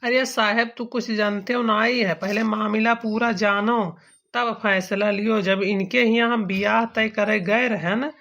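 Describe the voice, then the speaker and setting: A man's voice: sits high, fairly even intonation, a little slow, pushed and bright, full character voice. A man playing an aggrieved, calculating village father-in-law in a cartoon comedy, arguing point by point with an eastern Uttar Pradesh accent. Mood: argumentative, aggrieved. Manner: argumentative, explaining point by point.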